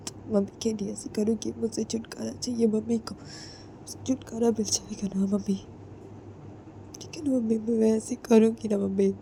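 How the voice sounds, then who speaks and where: A woman voicing a tearful young daughter-in-law who speaks in a soft, breathy half-whisper, hurt and stubborn. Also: mid-range voice, slight background noise, natural voice.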